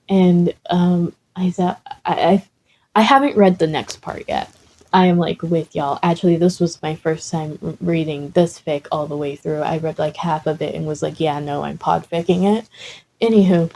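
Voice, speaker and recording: A woman's voice: lowish voice, warm tone, rough recording. A woman reading a comic story and chatting casually as the host. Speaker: fan fiction reader and host. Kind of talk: comic story narration, then casual chat.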